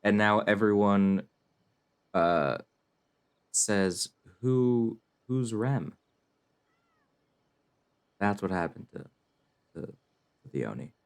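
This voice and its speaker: A man streaming a game with casual, wry, deadpan commentary. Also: on the low side, very slow, pauses often, clean tone. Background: faint game music.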